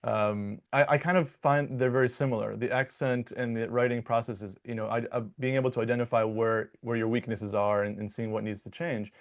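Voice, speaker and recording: A young American man from Michigan, a dialect coach, answering interview questions in a relaxed, playful way with frequent restarts and fillers. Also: mid-range voice, brisk, few pauses. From Michigan, United States.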